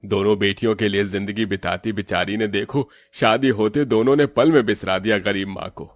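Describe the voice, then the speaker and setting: A man's voice: lowish voice, steady tone, moderate pace, dry recording, faint music behind, lightly acted. A man playing a sympathetic village farmer in a cartoon story, lamenting in a pitying, gossipy way.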